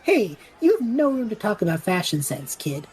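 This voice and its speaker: A woman's voice: medium pitch, big pitch swings, normal speed, a little mellow, soft background music, natural voice. A woman playing an impulsive, chatty male traveller with a flippant, theatrical manner in an audio drama. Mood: casual, amused.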